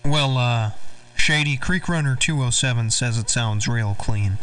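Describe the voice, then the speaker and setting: A man's voice: medium pitch, a little slow, recorded from a distance, noisy background. A man chatting casually in CB slang, in an easygoing manner. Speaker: CB radio operator hosting a check-in. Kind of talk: casual radio chat.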